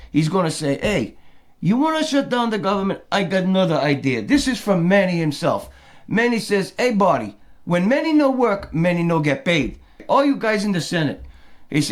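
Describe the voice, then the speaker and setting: A man doing a worked-up middle-aged immigrant with a heavy put-on Portuguese accent, riding a high-pitched voice. He rants in broken English and keeps calling himself by his own name, like a comic character monologue. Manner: broken English, talks of himself in third person.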